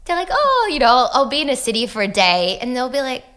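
A young woman with an Australian accent tinged with American, talking casually as a podcast guest and often dropping to a soft near-whisper when reflective. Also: high-pitched, big pitch swings, quick pace, clear, resonant tone. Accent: Australian with American touches. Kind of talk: casual chat.

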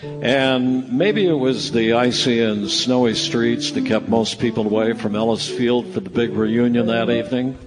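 Guy as folksy radio play narrator, mid-pitched voice, telling a holiday story, music playing loud behind. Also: unhurried, close to an everyday voice, low-quality recording. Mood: storytelling. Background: live music.

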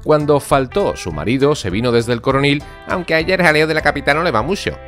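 A man's voice: fairly high pitch, expressive, fairly quick. A man with an Andalusian accent narrating a radio drama's introduction in a storytelling manner. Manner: warm storytelling, hushed at times. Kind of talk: scripted narration. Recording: studio with guitar music behind.

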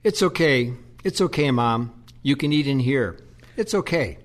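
A man acting as a gentle, weary priest who coaxes and cares for his mother in an audio play. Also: mid-range voice, animated, unhurried, close to an everyday voice. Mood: coaxing. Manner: coaxing, earnest.

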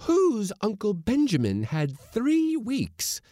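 A fierce, hot-tempered woman cook from a seafaring storybook, acted out by a man. The voice is pushed well up high and swings widely as it scolds and hectors with loud indignation. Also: huge pitch swings, slow pace, no room sound, clean studio sound, exaggerated voice. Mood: wrathful accusing. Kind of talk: storybook character voice in audiobook.

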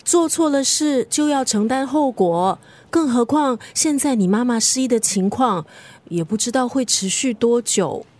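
An actress playing a frank, chatty middle-aged best friend in a radio drama, with a Singaporean accent. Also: female voice, a little high, animated, a little slow, a little mellow. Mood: concerned. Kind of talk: Mandarin radio drama acting.

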